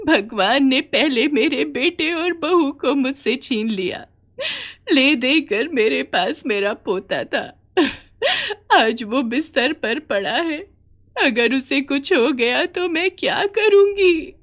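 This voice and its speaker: A woman plays an old village grandmother in a moral tale, sorrowful and tender. The voice is raised high and slow, with drawn-out, plaintive pleading that swings widely. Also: expressive, fairly bright tone, full character voice. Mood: tearful, pleading. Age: older.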